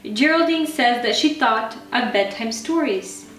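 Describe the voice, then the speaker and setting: A woman's voice: on the high side, animated, measured pace, a bit bright. A woman reading a children's bedtime story as a scripted narration. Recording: roomy, distant mic, faint music.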